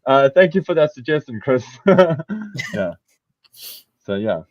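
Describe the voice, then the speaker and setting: A man's voice: mid-range voice, big pitch swings, a little slow, a little mellow, dull audio. A man from Africa, hosting a casual podcast chat. He rambles, corrects himself often and laughs a lot. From South Africa. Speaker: podcast host.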